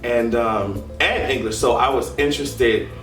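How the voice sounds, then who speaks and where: A sassy man chatting casually with a co-host, loud in his asides. His voice sits mid-range and sounds distant in an echoey room, with faint music playing under it. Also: mid-range voice, a little slow. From Jamaican family background. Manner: sassy, animated, says "girl" often. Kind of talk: casual chat.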